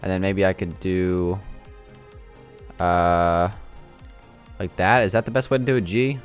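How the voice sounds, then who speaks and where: Young male gaming host, low voice, a touch raspy, hesitant, unhurried chatter. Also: fairly even intonation, faint music behind. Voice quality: slightly raspy from yelling. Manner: thinking aloud, hesitant, many fillers. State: sore throat. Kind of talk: casual gameplay commentary.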